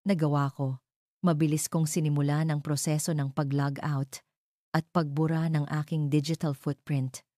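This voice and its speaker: A woman narrating a scripted first-person drama in measured, suspenseful phrases. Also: low voice, level tone, dark tone, dry recording, clean studio sound. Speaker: story narrator.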